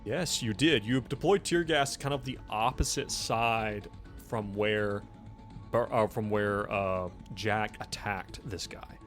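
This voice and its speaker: A man with a fairly low voice improvises narration as a tabletop game master. His delivery is informal and halting, with teasing asides to the players. Soft music plays underneath him.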